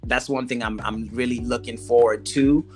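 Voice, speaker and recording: A man's voice: medium pitch, normal speed, soft background music. Laid-back man hosting a casual interview. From Zimbabwe. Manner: relaxed, laid-back slang, many restarts. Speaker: podcast host.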